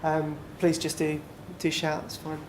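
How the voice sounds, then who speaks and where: A man leads a relaxed, chatty session in a small conference room, leaning on fillers as he talks. His delivery stays flat and even. The room is echoey with faint noise behind him. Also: fairly high pitch, measured pace. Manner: relaxed, filler-heavy, conversational. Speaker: presenter. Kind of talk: conference talk.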